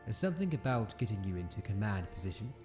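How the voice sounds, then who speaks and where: An actor playing a brusque, authoritative military academy commandant in an audio comic drama. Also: male voice, on the low side, very animated, fast, music in the background. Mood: grudging, matter-of-fact.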